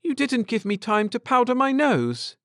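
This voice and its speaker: Man voicing an eager, warm-hearted woman, lifted pitch. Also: sing-song, moderate pace, dry recording, studio quality, exaggerated voice. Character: eager, warm-hearted wife, space traveller. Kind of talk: audiobook character dialogue.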